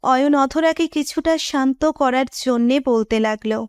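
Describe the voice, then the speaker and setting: Female narrator, high pitch, very dry close sound, scripted romantic storytelling. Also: moderate pace, darkish tone, smooth, dry recording.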